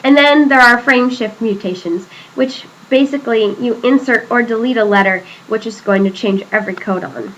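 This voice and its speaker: A woman's voice: a little high, normal speed, hardly any pauses, a bit bright, dull audio, slight background noise. A teenage girl hosting a school project skit, explaining cheerfully. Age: teens. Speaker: student interviewer.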